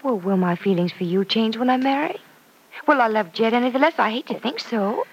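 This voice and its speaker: Hesitant young radio-serial heroine. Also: female voice, on the high side, expressive, a little fast, slight background noise, low-quality recording. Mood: earnest, tender. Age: young adult. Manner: hesitant, soft-hearted, confiding. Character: earnest, self-doubting young woman torn over love. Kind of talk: old-time radio serial acting.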